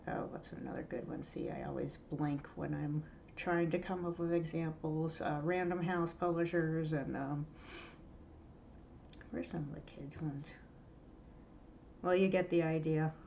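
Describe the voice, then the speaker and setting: A woman's voice: sits low, fairly even intonation, a little slow, vocal fry, pauses often, warm tone, muffled recording, slight background noise. Stuffy-nosed woman hosting a writing podcast. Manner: chatty and candid, many ums and uhs. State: stuffy, nasal from allergies. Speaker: podcast host. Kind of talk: casual solo talk to writers. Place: home room.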